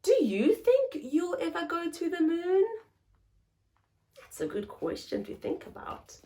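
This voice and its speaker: A woman's voice: very high-pitched, very animated, measured pace, mellow. A woman hosting a story time, reading aloud expressively to young children in simple phrases and putting questions to them.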